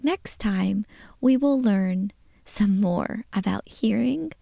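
A woman teaching children a devotional lesson in a soft whisper. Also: medium pitch, slow, vocal fry, dry recording, studio quality.

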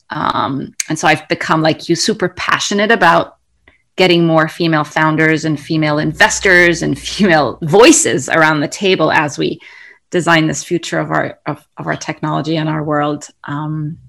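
A woman's voice: sits low, creaky, a bit bright. A woman from Egypt answering interview questions earnestly, with many fillers and restarts. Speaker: interview guest, tech founder.

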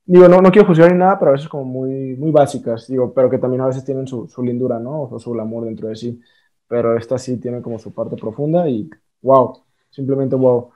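A man's voice: mid-range voice, fairly even intonation, brisk, mellow, lo-fi sound. A man hosting a casual podcast interview, friendly and a bit hesitant, full of fillers. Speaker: podcast host. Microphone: remote online call.

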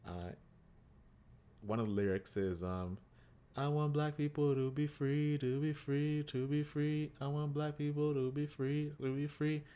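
A man's voice: on the high side, unhurried, clean tone. A man co-hosting a podcast, talking casually and animatedly, with lots of "like" and repeated words. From Kenyan heritage. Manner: animated, repeats himself, heavy use of "like". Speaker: podcast co-host.

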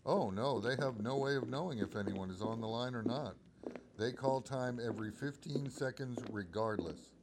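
A man's voice: mid-range voice, normal speed, background noise, natural voice. A man playing an obliging telephone company supervisor in a radio drama, explaining things courteously. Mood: informative, businesslike. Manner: courteous, explanatory, crisp phrasing.

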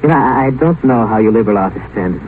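An actor playing a brash, cynical doctor and researcher, breezy, sardonic and domineering in a 1950s radio drama style. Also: male voice, mid-range voice, expressive, fast talker, close to an everyday voice. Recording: noisy, tinny old 1950s radio broadcast.

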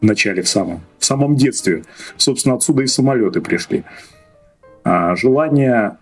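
A man's voice: mid-range voice, lively intonation, fairly quick, soft background music. A man in his thirties from Russia, a podcast guest telling his story in a hesitant way, restarting phrases and laughing often. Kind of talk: casual podcast chat.

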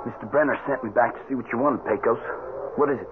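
A man's voice: medium pitch, fast, loud music behind the voice, close to an everyday voice. Guy playing a young cowboy greenhorn, earnest n polite, mid voice, dull old radio western sound. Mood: concerned. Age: young adult. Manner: polite, eager. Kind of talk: old-time radio drama acting.

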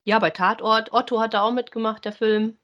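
A woman's voice: a little high, steady tone, a little fast, lo-fi sound. Woman, casual podcast co-host. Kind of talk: casual review chat.

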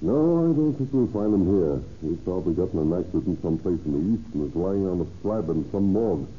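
Skeptical unhurried investigator, deep voice, vintage radio acting, muffled hissy recording. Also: male voice, animated. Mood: skeptical, intent. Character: calm, methodical male investigating attorney, dryly skeptical.

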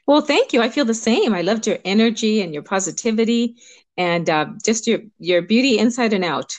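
Reflective woman coach with gentle ums. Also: a little high, animated, no echo. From Cuba. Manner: warm, reflective, pauses with ums. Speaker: empowerment coach, guest. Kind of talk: interview conversation.

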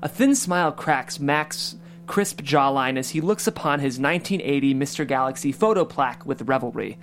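A narrator reads the stage directions at a script table read. The delivery is even and wry, at a middle pitch. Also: normal speed.

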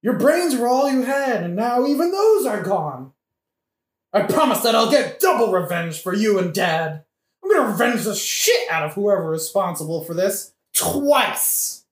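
A woman's voice: mid-range voice, very animated, moderate pace, natural voice. A woman playing a hot-headed, foul-mouthed young male avenger in a satirical audio play, brash and blustering. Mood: exasperated pity. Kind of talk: satirical modern-language audio play acting. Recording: roomy, echoing audio-play recording.

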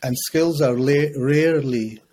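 Measured man in his fifties. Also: medium pitch, a little mellow, a little hiss or hum. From Scotland. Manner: measured, reflective, frequent hesitations. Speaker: podcast guest, educator. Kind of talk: conversational podcast interview.

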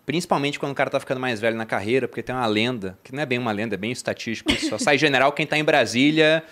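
A man's voice: mid-range voice, very fast, fairly bright tone. A man with a Brazilian accent, chatting casually as a panelist on a talk show. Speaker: podcast panelist, former soldier. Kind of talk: casual chat.

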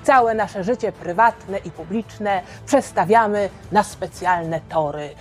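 A woman's voice: medium pitch, slow pace, faint music behind, voice pushed down, close to an everyday voice. A woman playing a grave male wartime radio announcer, reading a solemn, emphatic proclamation in an audio story. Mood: solemn, resolute.

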